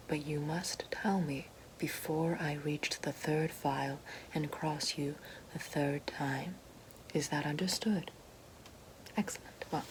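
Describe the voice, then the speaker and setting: A woman playing a haughty, fallen evil queen and potion-maker in a breathy, mostly whispered ASMR roleplay. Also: sits low, slight background noise, low-quality recording. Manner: slow, deliberate, haughty, theatrical. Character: fallen evil queen, sly potion-making sorceress down on her luck.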